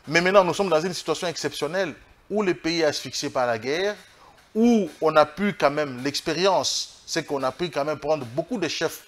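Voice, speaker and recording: A Congolese man with a fairly high voice for a male speaker. He talks as a political guest in an interview, making his case emphatically. His delivery is halting, with phrases often restated as he builds the argument. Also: fairly bright tone. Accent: Congolese French. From Democratic Republic of the Congo.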